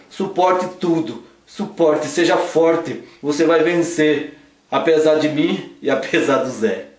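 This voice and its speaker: Joking law teacher. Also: male voice, a little high. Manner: playful, teasing an unseen sidekick. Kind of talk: joking casual law lesson. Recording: roomy echo, a bit far from the mic.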